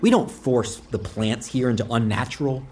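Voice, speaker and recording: Man playing an animated, effusive tour guide. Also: medium pitch, normal speed, soft background music, lightly acted. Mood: earnest. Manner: welcoming, grandly earnest, presentational. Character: effusive male tour guide of a plant utopia. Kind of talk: audio drama acting.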